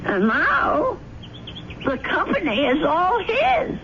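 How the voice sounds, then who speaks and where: A woman's voice: very high-pitched, huge pitch swings, slow pace, heavily acted. An actress playing an elderly, fussy, stubbornly righteous landlady in a radio drama, with earnest, old-fashioned phrasing. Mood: insistent, earnest. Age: older. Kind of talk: 1970s radio drama acting. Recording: noisy, muffled old radio broadcast.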